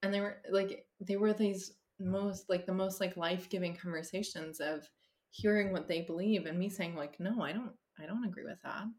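A woman's voice: lowish voice, fairly even intonation, moderate pace, darkish tone. A woman therapist, a podcast guest, talking casually with many fillers, restarts and easy laughs. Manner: laughs easily. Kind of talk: casual conversation.

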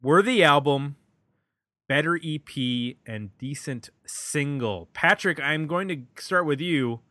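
Bright-voiced man hosting, close dry sound. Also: fairly high pitch, slow, no echo. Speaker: podcast host. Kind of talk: casual music review chat.

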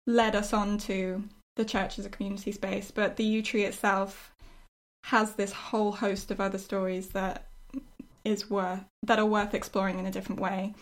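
A woman talking casually and reflectively as a writer discussing her work, often halting as she thinks aloud. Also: medium pitch, little pitch movement. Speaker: writer discussing her own storytelling project.

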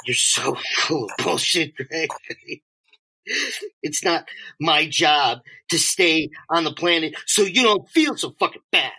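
Guy acting strung-out homeless addict man, bright edgy voice, bitter, sweary, trips over his words, audio play. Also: fairly high pitch, slow pace, breathy edge, exaggerated voice, poor audio. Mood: bitter, mocking. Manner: agitated, stammering, profane, sarcastic. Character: desperate homeless male drug addict, bitter and mocking. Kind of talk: naturalistic two-person audio drama acting.

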